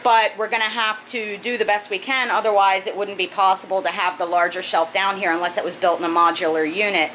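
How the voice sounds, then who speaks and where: A woman's voice: medium pitch, steady tone, brisk, hardly any pauses, a bit bright, slight background noise, poor audio. A woman in her thirties casually explaining a project step by step in her basement fish room, with a few fillers. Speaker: aquarium hobbyist vlogger.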